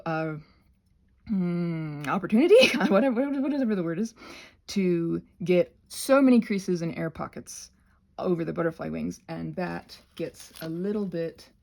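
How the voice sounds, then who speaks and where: A woman's voice: on the low side, gravelly, a little mellow. A woman casually hosting a craft demonstration, talking in a hesitant, meandering way with self-deprecating asides. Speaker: craft channel host.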